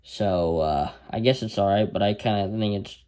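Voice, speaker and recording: A man's voice: on the low side, moderate pace, mellow. A man casually reviewing a handheld radio, rambling with lots of fillers and restarts.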